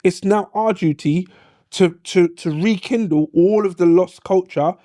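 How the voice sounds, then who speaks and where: Man, podcast panelist, slightly high mellow voice, dry close mic, animated delivery. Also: a little slow, no room sound. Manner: animated, acts out quoted voices, repeats "do you know what I mean". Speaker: podcast host. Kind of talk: casual panel discussion.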